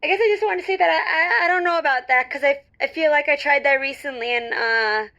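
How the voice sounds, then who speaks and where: A woman playing a shy, lonely first-time radio caller in an audio drama, nervous and halting, with frequent stammers, on a slightly dull caller line. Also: high-pitched, bright, forceful tone. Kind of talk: semi-improvised audio drama, call-in show.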